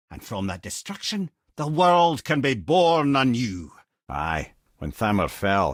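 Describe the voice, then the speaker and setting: A man's voice: low voice, no echo, clean studio sound, heavily acted. A man with a Scottish accent voicing a witty, worldly-wise reanimated head, an old storyteller in a video game who talks in wry, eloquent, old-fashioned turns of phrase. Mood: grave storytelling.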